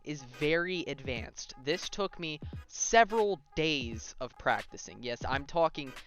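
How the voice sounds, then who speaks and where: A man's voice: on the high side, lively intonation, unhurried, fairly bright tone, soft background music. A young man giving a casual video game tutorial, talking informally and directly to viewers and often checking in with them. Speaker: gaming tutorial host.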